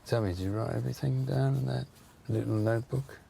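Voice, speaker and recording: Tired movie detective, mumbly quick and gravelly, deadpan, faint noise behind. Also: male voice, mid-range voice. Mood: dry, weary. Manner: dry, deadpan, unimpressed. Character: weary, dry senior male police detective. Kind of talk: film dialogue.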